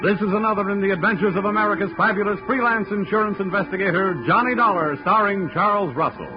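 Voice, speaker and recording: Man, showy 1940s show intro, high voice, lo-fi with music. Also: fast talker. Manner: dramatic showman introduction. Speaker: show announcer. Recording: dull old radio recording with music.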